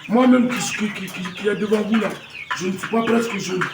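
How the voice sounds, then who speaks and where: A man's voice: sits high, brisk. A man in his fifties with a Malian French accent, a poultry breeder talking proudly to camera on his farm, repeating himself and restarting his words. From Mali. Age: 50s. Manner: proud, repetitive, stumbling restarts. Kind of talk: farm tour talk to camera. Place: poultry farm with clucking hens. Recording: echoey, far from the mic, noisy farm.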